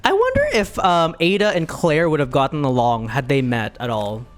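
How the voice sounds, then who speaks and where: Animated woman gamer, playful and excitable. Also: low voice, moderate pace, faint music behind. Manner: playful, excitable, profane, given to silly repetition. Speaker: streamer reacting while playing a video game. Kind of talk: casual playthrough commentary.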